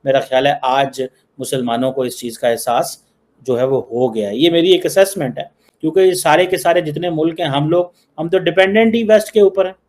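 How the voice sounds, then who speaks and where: A man with a Pakistani accent speaks in a fairly high voice. He gives informal political commentary and leans on repeated phrases to press his point. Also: fairly quick, a little mellow. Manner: emphatic repetition of phrases.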